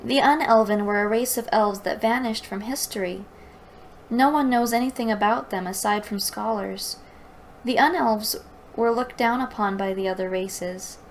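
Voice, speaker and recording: A woman's voice: on the high side, moderate pace, a little hiss or hum, lightly acted. A woman voicing a bookish young female adventurer who explains things earnestly in a fantasy story. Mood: explaining.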